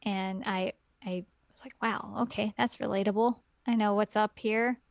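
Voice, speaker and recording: A woman's voice: medium pitch, fairly even intonation, moderate pace, gravelly, no echo. A woman co-hosting a casual podcast chat, rambling and giggly with lots of fillers. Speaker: podcast co-host.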